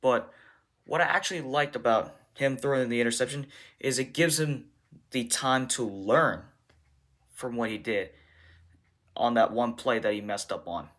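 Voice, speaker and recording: Bright-voiced man answering as a football coach. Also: medium pitch. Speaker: football coach on a press conference call. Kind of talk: press conference answers.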